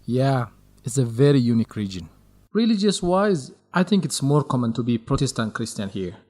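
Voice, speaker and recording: An actor with an Ethiopian accent playing an organized, courteous, gently grumbling male researcher in an educational radio drama, speaking in a measured, explanatory way. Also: medium pitch, very animated, no room sound, lightly acted. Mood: informative, earnest.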